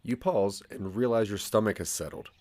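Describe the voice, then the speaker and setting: A man's voice: on the low side, moderate pace, a little mellow, dry recording. A man narrating a scripted audio drama in the second person, with a dramatic storytelling manner.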